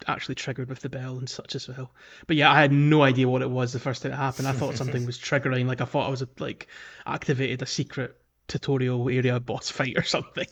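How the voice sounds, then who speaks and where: A man's voice: medium pitch, fairly bright tone. A man hosting a podcast, talking through a game in a casual, rambling, conversational way. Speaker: podcast host.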